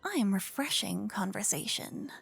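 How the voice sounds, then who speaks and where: A woman playing a flirtatious, witty royal tailor in a scripted fantasy ASMR roleplay, breathy and often whispering, with a teasing, refined, gossipy manner, close to a binaural microphone. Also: medium pitch, big pitch swings, a little slow, faint music behind, exaggerated voice. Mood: teasing, confident.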